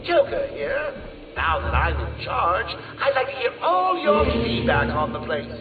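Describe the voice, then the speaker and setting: An actor playing a manic, cruel male clown villain in a video game, theatrical and mocking, swinging between purrs and shouts. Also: high voice, huge pitch swings, a little slow, echoey room, recorded from a distance, narrow, tinny audio, loud music behind the voice, exaggerated voice. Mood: gleeful. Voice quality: theatrical, sing-song, cackling.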